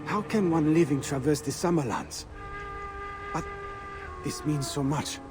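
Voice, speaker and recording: An actor playing the wondering, grateful spirit of a dead merchant in a video game. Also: male voice, fairly high pitch, a little slow, music in the background. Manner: wondering, formal, expressive. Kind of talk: dramatic video game acting.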